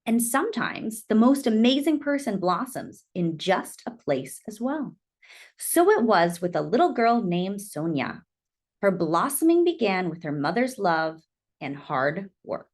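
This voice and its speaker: Animated woman reading a children's story. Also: mid-range voice. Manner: animated, deliberate storytelling with dramatic emphasis. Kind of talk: expressive read-aloud of a children's picture book.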